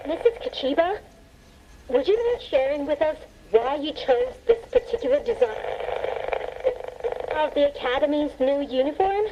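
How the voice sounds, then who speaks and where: Muffled radio sound, faint noise, polite female talk show host, animated, game dialogue. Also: sits high, moderate pace. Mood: bright, interested. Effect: choppy radio filter. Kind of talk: radio interview acting in a video game.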